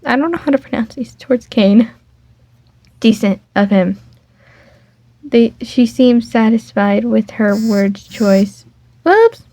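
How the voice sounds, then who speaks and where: A woman's voice: a little high, lively intonation, slow pace, pauses often, mellow, no room sound. Rambling woman reading a fan game. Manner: rambling, self-interrupting, stumbles over words. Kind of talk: reading a fan dating sim aloud. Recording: dull lo-fi dry home mic.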